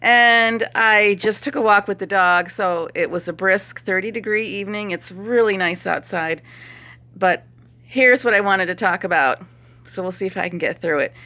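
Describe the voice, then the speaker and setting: A middle-aged woman chiropractor with a hoarse voice and a stuffy nose, chatting opinionatedly to a phone camera and laughing as she talks. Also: medium pitch, pushed and bright. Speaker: chiropractor vlogger. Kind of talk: casual talk to camera.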